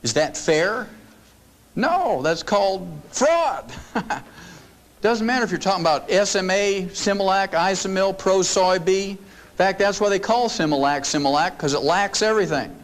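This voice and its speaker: A man's voice: high-pitched, animated, normal speed. An older man giving a folksy, joking seminar talk to an audience in a meeting room. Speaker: lecturer.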